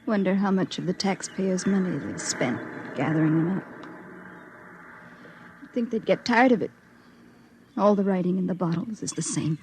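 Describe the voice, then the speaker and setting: A woman's voice: fairly low pitch, moderate pace, pauses often, darkish tone, a little hiss or hum, close to an everyday voice, low-quality recording. An actress playing a lonely, bitter, secretive young woman in a 1950s radio drama, with a world-weary, intense delivery. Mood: bitter, wary.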